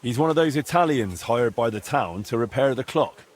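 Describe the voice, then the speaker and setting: An actor playing a determined young blacksmith hero in a video game, coaxing and firm, with a husky voice. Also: male voice, medium pitch, lively intonation, normal speed, natural voice. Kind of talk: video game drama acting.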